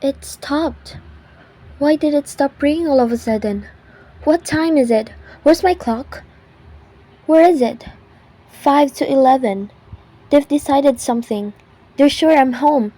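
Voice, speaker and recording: Scared needy sick wife, high voice, drags her words out, panicky radio thriller thing. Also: unhurried, pauses often, dark tone, rough recording. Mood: anxious, defiant. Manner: frantic, pleading, self-pitying, repeats herself. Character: panicked, querulous invalid wife alone at night.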